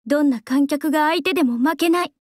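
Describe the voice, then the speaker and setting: Actress as a game idol singer: composed and soft-spoken, high and dry close-mic sound. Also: female voice, fairly quick, no echo, close to an everyday voice. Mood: calm. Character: young female idol singer, cool and earnest. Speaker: game character voice. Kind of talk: game voice lines. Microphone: close studio mic, dry.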